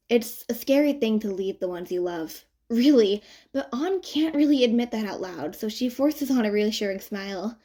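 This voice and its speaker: Expressive woman narrating a story. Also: mid-range voice. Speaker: audiobook narrator of fan fiction.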